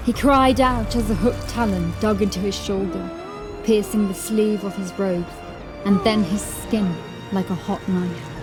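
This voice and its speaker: A woman reading scripted horror fiction as narrator, in a dramatic, measured storytelling manner. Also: medium pitch. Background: music.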